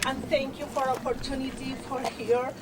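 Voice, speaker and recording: Chilean woman, emotional new citizen, broken English, high pitch, distant recording with heavy background noise. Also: flat delivery. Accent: Chilean Spanish. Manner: halting, broken English, effusive. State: tearful. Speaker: new citizen giving a speech. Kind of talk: short emotional public speech.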